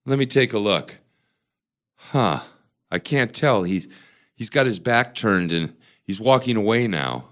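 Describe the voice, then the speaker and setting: A reclusive, suspicious collector in an audio drama. His voice sits low and swings widely in pitch as he mutters hesitantly and uneasily to himself. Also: animated, unhurried, fairly bright tone. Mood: wary.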